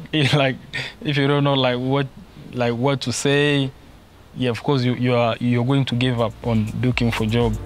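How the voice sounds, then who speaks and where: A man's voice: medium pitch, flat delivery, measured pace, slight background noise. Young man from Congo, plain speech. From Congo, grew up in Kenya. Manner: simple phrasing, many "yeah"s. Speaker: worker interviewee. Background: music.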